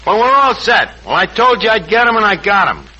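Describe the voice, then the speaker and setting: An actor playing a pompous, blustering New tavern manager who mangles big words in 1940s radio comedy. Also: male voice, high-pitched, big pitch swings, fairly quick, sharp tone, heavily acted. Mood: boastful. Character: blustering malapropism-prone tavern manager, adult man. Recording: muffled, noisy vintage radio broadcast.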